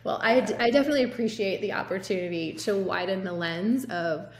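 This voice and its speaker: A woman's voice: mid-range voice, a little fast. Woman doctor on a roomy video call. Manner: explanatory, hedging with fillers. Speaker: physician guest. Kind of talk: conversational medical discussion. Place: home office with window. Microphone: laptop or webcam mic on video call. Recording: roomy video call audio with faint noise.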